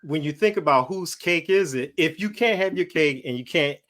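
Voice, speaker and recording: A man's voice: fairly high pitch, expressive, normal speed, a bit bright. A man hosting a casual online conversation, talking in a friendly way and often repeating words. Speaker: host.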